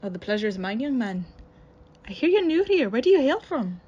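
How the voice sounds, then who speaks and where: A woman's voice: a little high, sing-song, a little fast, dark tone, husky, dull audio. A woman playing a friendly, inquisitive dockworker's wife in a radio play. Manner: chatty, inquisitive.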